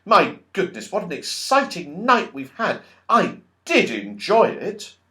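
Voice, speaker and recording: Eager boy leader for a storybook male reader, lightly raised pitch, animated and exclaiming. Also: unhurried, full character voice. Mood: satisfied. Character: eager, excitable young boy taking charge. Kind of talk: children's storybook character voice.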